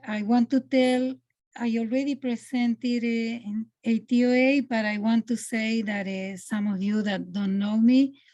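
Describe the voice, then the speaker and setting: A woman from Argentina with an Argentinian Spanish accent, presenting her art on a video call, halting and searching for words. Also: a little high, warm tone. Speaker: presenting artist. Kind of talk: online artist presentation.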